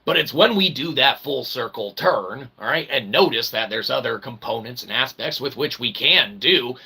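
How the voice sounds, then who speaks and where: Gravelly, very bright-voiced man lecturing informally. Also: mid-range voice, animated, normal speed. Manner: wordy mock-academic phrasing with frequent "all right, okay" check-ins. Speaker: online philosophy show host. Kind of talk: rambling informal monologue, tongue-in-cheek lecture.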